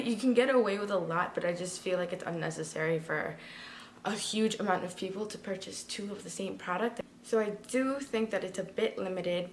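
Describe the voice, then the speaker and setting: A woman reviewing a product in a casual, chatty way, talking straight to her viewers, with a slightly raspy early-morning voice. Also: medium pitch, slight background noise. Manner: chatty, talks to viewers directly. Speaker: beauty vlogger.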